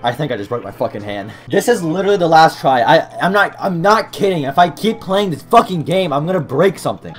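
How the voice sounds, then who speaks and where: Brief: young male streamer, a bit high-pitched, hoarse, cursing at his desk. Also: very animated, a little fast, few pauses, over music. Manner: swears and shouts in frustration. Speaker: gaming YouTuber. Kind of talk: webcam gameplay commentary. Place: small room at a desk. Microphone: desk mic beside keyboard, wearing headset.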